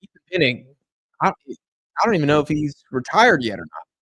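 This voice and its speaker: Casting: young male streamer, tired and a bit hoarse, rambling chat, dry close sound. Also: on the high side, very animated, moderate pace, a bit bright, no echo. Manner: chatty, rambling, answers chat questions. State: tired, losing his voice. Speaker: live stream host. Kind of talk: casual live stream chat. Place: home room in Argentina.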